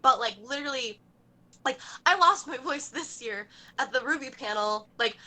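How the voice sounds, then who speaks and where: A gushing, bubbly young woman talking casually on a podcast, piling "like" into every sentence. Her voice sits a little high and rings bright and forceful. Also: lively intonation, moderate pace. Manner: bubbly, gushing, fills speech with "like". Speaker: cosplayer guest.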